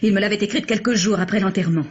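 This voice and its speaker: An actress plays an earnest woman pleading to be believed, in a husky, mid-pitched voice. It is old-fashioned French radio drama with a confessional manner and dull, dated sound. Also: racing, natural voice. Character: pleading, earnest young sister of a suspect.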